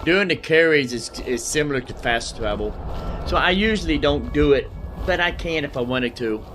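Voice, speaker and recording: A man's voice: fairly high pitch, lively intonation, moderate pace, background noise. A man giving casual gameplay commentary as a video host, repeating his phrases and using folksy slang.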